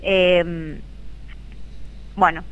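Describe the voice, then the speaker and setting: A woman in her thirties with an Argentine accent, a guest on a radio interview, talking earnestly about her work with many quick agreeing "sí, sí"s. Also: fairly low pitch, little pitch movement, smooth, highs cut off. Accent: Argentine Spanish. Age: 30s. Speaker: guest, embalmer.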